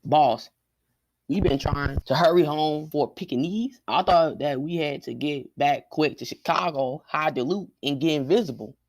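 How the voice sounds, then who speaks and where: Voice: mid-range voice, normal speed, close to an everyday voice. A student actor playing a dim, impatient gangster henchman in a radio play.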